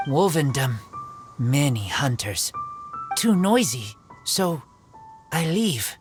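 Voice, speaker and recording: A woman voicing a shy, gruff, earnest teenage boy raised in the wild, speaking in halting broken phrases for a video game. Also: sits low, sing-song, over music, full character voice.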